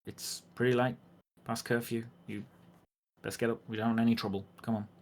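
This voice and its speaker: A man's voice: medium pitch, level tone, a little fast, a little hiss or hum, natural voice. A man playing a blunt, suspicious and exasperated town guard in a tabletop game. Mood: wary.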